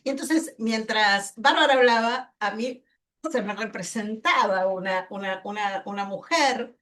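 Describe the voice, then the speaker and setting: A woman panelist with an Argentine accent speaks at a middle pitch. She presents off the cuff in an emphatic, deliberate way, often repeating words as she builds her point. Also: a bit bright. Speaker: panelist, health-rights lawyer.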